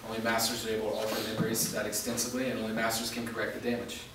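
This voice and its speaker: A man playing a wounded, resolute part-vampire fighter in a staged reading, speaking in a serious, measured, explanatory way. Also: on the low side, monotone, lightly acted. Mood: grave, resolute. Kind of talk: staged reading of a fantasy drama. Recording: echoey room, distant mic, faint noise.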